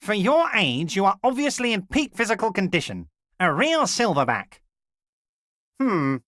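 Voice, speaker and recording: Casting: scheming male union boss in a game, high for a man, very lively intonation, oily mock-friendliness. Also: measured pace, no echo, clean studio sound, heavily acted. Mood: teasing flattery. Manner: unctuous, theatrical, mock-friendly condescension. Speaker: video game voice actor. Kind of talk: scripted game character dialogue.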